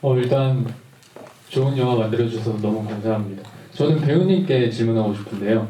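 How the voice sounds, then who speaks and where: A man's voice: mid-range voice, brisk, dark tone. A man in the audience politely and formally asking a question at a film Q&A. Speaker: audience member. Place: cinema hall at a festival Q&A. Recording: roomy, distant, faintly noisy.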